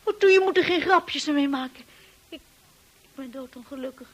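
A woman's voice: high-pitched, moderate pace, lo-fi sound, natural voice. An actress playing a shy, earnest young lady's companion in a Dutch radio drama, hesitant and emotional in delivery. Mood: bewildered, unsure.

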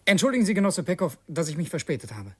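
Polite educated revolutionary in a german radio play, measured, husky, dry studio sound. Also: male voice, fairly high pitch, very animated, a bit bright, no room sound, exaggerated voice. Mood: earnest, dutiful. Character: Andrejew, educated young male revolutionary posing as devoted secretary. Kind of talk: German radio play acting and first-person narration.

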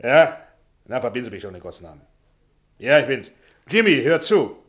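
An actor in a German radio play playing a bossy, self-satisfied Hollywood producer with a brisk, jovially pushy manner. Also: male voice, medium pitch, very animated, close to an everyday voice. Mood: impatient, hard-bargaining. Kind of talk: German radio drama acting.

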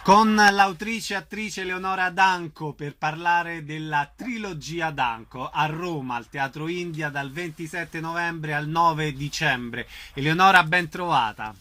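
Bright, slightly high-voiced male radio host. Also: measured pace, hardly any pauses. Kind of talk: radio interview about theatre.

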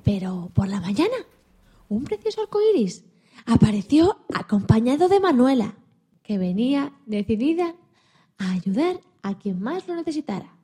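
A woman voicing a timid, hopeful young male dog who tells his own story in a children's radio play, expressive and a little halting. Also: a little high, husky. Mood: lonely then hopeful.

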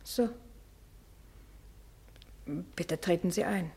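A melancholy spinster in a vintage radio drama. Her voice is soft-edged and dark, and she speaks in short, hesitant phrases with a wary reserve. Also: lowish voice, very slow, gravelly, exaggerated voice. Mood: wary, reserved. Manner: hesitant, terse, subdued. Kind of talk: 1960s German radio play acting.

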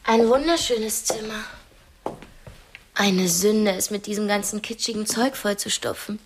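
A woman's voice: mid-range voice, a little slow, background noise, close to an everyday voice. An actress in a radio drama playing a proud, cultured young woman held captive, articulate, candid and critical. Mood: indignant, critical.

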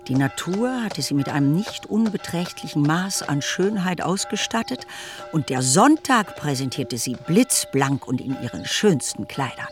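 A man narrating a classic romance novel for a radio play in a measured, articulate storytelling manner. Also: a little high.

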